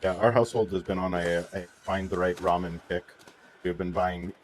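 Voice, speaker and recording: A man's voice: sits low, steady tone, moderate pace. A middle-aged man chatting casually as a stream co-host, with wry, offhand remarks. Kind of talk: casual chat. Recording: noticeable background noise.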